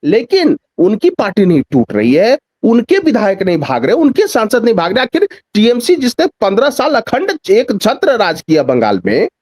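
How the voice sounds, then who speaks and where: A man's voice: sits high, wildly swooping pitch, moderate pace, hardly any pauses, a little mellow, dry recording, studio quality. A man speaking as a journalist guest in a news discussion, giving emphatic political commentary with repeated phrases and rhetorical questions.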